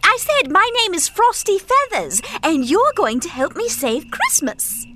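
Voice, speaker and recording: A man putting on a squeaky, sing-song female pelican. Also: huge pitch swings, a little slow, background noise, heavily acted. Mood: insistent. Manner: high, sing-song and bossy-sweet. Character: kind urgent female pelican helper. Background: music.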